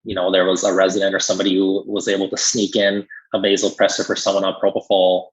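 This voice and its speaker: Low-voiced man with a slightly husky sound. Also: completely flat delivery, quick pace, poor audio. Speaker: critical care pharmacist guest. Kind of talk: conversational interview explanation.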